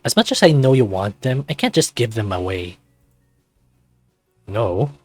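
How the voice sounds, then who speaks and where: A man's voice: mid-range voice, lively intonation, unhurried, no echo, soft background music. A man voicing a sarcastic, incredulous teenage guy, the hero of a visual novel, with teasing, questioning delivery. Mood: smug, teasing. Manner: dry, teasing, exclamatory questions.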